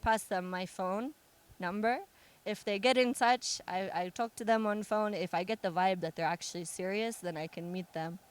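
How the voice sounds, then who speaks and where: A woman's voice: medium pitch, moderate pace, clean tone. Laughing, joking American woman. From United States. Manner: laughs often, playful and joking. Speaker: musician guest. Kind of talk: casual interview chat.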